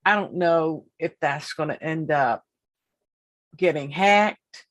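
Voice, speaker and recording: Woman host, fifties, low-pitched, deliberate and emphatic, repeats phrases for weight. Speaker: podcast host. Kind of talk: casual interview.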